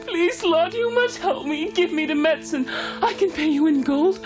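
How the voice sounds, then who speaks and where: A woman acts out a humble, bereaved wife in a cartoon. Her voice sits extremely high, pleading and deferential, over faint music. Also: expressive, moderate pace. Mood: desperate pleading. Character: grieving, pleading rich young wife, humble. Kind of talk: cartoon voice acting.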